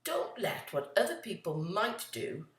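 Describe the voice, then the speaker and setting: A woman's voice: mid-range voice, sing-song, unhurried, voice pushed up. A woman voicing a supportive young male porcupine, a loyal storybook best friend, earnest and encouraging. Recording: roomy, echoey, distant from the mic.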